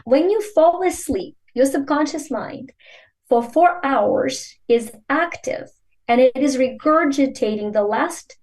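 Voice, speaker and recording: A Ukrainian-born woman, a native Ukrainian speaker, with a voice that sits a little high. She talks as a podcast guest, earnest and emphatic, and often slips into the tone of a coach. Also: lively intonation, slow pace, a little mellow. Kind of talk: podcast interview.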